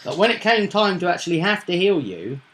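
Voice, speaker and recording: A man talking casually as a game master, recapping and explaining a tabletop roleplaying session in a halting, thinking-aloud way. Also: sits high, very animated, fairly bright tone, poor audio.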